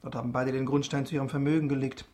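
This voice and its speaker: A man's voice: mid-range voice, level tone, very fast, a little mellow, close to an everyday voice. An actor playing a candid young nobleman in a German radio drama, polite and conversational with a resigned air. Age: young adult. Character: candid young viscount, wellborn, reluctant bridegroom.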